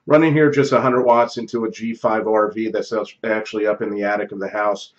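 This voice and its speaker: A man's voice: on the low side, steady tone, brisk, dull audio. A middle-aged American man chatting casually as an amateur radio operator, using call signs and phonetic spelling. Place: home office radio room. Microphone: close studio microphone on boom arm.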